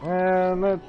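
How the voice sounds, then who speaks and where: A man livestreaming a game with casual, sparse, halting commentary. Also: high voice. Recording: dull lo-fi mic, game music behind.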